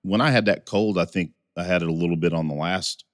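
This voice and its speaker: A man's voice: low voice, quick pace, no echo, studio quality. A man co-hosting a podcast in casual chat, his voice still scratchy from a recent cold, often repeating and restarting words. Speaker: podcast co-host.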